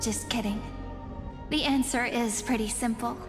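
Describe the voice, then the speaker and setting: A woman playing a brave, playful, wistful young heroine in a video game, with gentle, teasing phrasing. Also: on the high side, steady tone, unhurried, lightly acted. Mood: playful resolve. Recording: long reverb with music behind.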